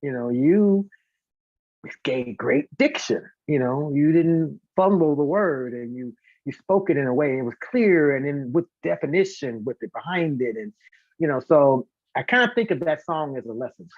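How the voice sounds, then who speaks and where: A man who sings and teaches voice, talking as a guest in a casual live interview, telling stories in an animated way and acting out what people say. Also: fairly high pitch, normal speed, dry recording, phone-quality sound. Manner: talkative, animated storytelling with acted-out quotes and demonstrations.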